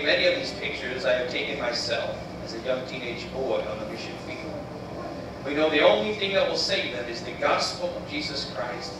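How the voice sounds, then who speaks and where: A man in his thirties from the United States, giving an earnest, measured missionary presentation. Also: fairly high pitch. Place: church sanctuary, voice played on screen video. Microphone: recorded from room speakers by far camera. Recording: roomy, distant, noisy church playback.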